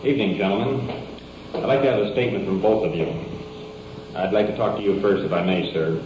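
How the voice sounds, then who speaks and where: American male police sergeant, deep voice, polite halting questioning. Roomy, noisy 1950s field tape. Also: fairly even intonation. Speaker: police sergeant taking statements. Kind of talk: questioning witnesses. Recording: echoey, muffled, noisy 1950s field recording.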